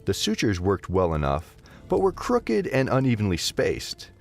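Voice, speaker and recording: A man narrating a scripted fantasy story as an expressive audio drama narrator. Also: lowish voice, a little slow, vocal fry.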